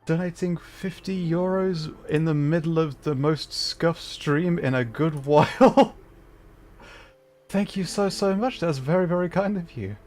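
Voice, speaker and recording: A man's voice: a little high, unhurried, a little mellow. Man livestreaming casually over music. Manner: self-deprecating, wry. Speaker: livestreamer host. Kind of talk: casual gaming livestream chat.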